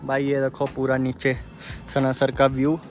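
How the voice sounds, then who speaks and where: A man's voice: mid-range voice, completely flat delivery, moderate pace, over music. A man chatting informally to viewers as a travel vlogger, calling them bro. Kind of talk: casual vlog chat to camera.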